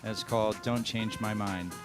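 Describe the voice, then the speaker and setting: A man hosting a live music show, chatting in relaxed banter. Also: on the low side, normal speed, long reverb tail. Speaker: radio show host. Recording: loud electronic music under the voice.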